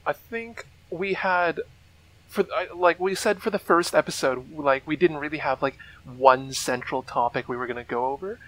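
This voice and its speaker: A man's voice: a little high, moderate pace, a bit bright, rough recording. A man co-hosting a casual podcast, wry and self-deprecating, talking in a laid-back way. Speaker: podcast co-host.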